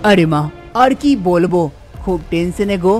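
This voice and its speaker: A woman's voice: lowish voice, a little slow, darkish tone, soft background music, pitched below the performer's own voice, lightly acted. A woman voicing a tense, weary young male village labourer in a cartoon, explaining his troubles. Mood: dejected. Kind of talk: Bengali cartoon voice acting.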